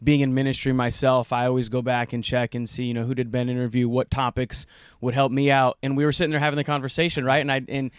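Male guest, quick speech, smooth clear tone, flat pitch, earnest with restarts. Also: dry recording, clean studio sound. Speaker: guest speaker. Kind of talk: casual chat. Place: camper trailer.

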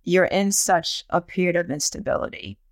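A woman's voice: fairly low pitch, moderate pace, dry recording. A woman hosting an interview podcast in a conversational style.

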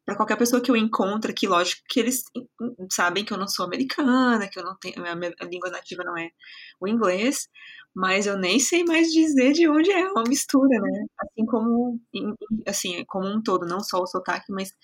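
A middle-aged woman from São Paulo state, Brazil, speaks with a slightly husky, breathy tone. She talks reflectively and warmly, with frequent fillers and small restarts. Her pitch sits in an ordinary middle range. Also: fairly quick. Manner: reflective, warm, with many filler words and restarts. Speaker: podcast guest. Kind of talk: casual conversational interview.

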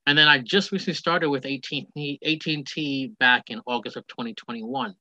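A man in his forties rambles cheerfully through his story over the phone. His voice is bright and forceful. The line is dry and a bit muffled. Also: mid-range voice, brisk, dry recording. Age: 40s. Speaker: guest. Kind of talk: casual phone interview.

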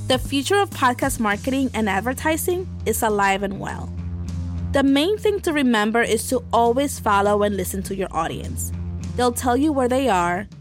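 A woman's voice: on the high side, expressive, normal speed. A woman hosting a podcast, reading scripted narration. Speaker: podcast host. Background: music bed.

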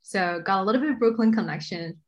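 A woman's voice: mid-range voice, fast. Young woman from China, podcast guest, eager, lots of fillers. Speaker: guest, staff writer. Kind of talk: casual podcast conversation.

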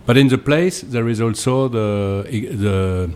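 A man with a French accent, a winemaker being interviewed, explaining things in hesitant, deliberate non-native English. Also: mid-range voice, a little mellow, a little hiss or hum. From Alsace, France.

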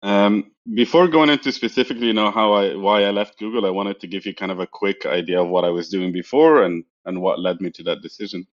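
A man's voice: on the low side, fast, few pauses, highs cut off. A man in his thirties from Egypt, a guest answering interview questions reflectively. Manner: reflective, with many fillers and restarts.

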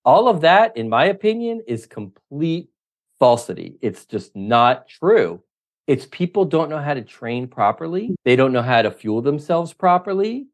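A man's voice: a little high, very animated, a little slow. A middle-aged man, a triathlon coach, answering interview questions in an emphatic, opinionated way, often running through lists. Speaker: triathlon coach, podcast guest.

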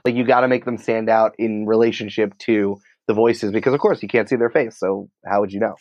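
American guy chatting as a podcast guest, lowish voice, casual and emphatic, kinda lo-fi sound. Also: fairly even intonation, fairly quick, a little mellow, dry recording. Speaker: podcast producer and writer, interview guest. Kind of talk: conversational interview answers.

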